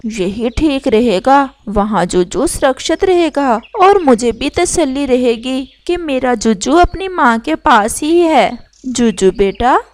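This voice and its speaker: A woman as a high-voiced protective mother kite bird. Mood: tender, worried. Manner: earnest, motherly. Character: protective, ailing mother kite bird, tender but firm. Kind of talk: children's cartoon story acting.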